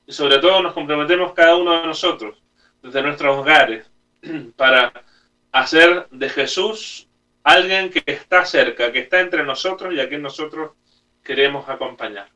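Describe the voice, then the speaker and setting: A middle-aged man, a priest, giving a homily and prayers at Mass in earnest phrases broken by pauses. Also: a little high, pushed and bright, distant mic. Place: small room at home, indoors. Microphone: camera a few metres away.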